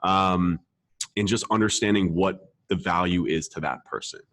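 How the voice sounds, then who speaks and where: A deep-voiced man in a dry, close-sounding recording hosts a casual marketing conversation. He speaks emphatically, repeats himself to drive points home and often checks that the listener follows. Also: moderate pace, fairly bright tone, no echo. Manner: emphatic, repetitive, casual swearing, frequent check-in tags. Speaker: podcast host, marketer.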